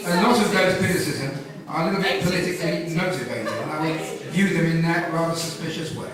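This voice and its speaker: British male councillor, formal meeting debate, higher-pitched voice, halting delivery, recorded at a distance. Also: a loud crowd. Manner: halting, repeats phrases. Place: town hall committee room. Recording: echoey hall, distant mic, audience murmur.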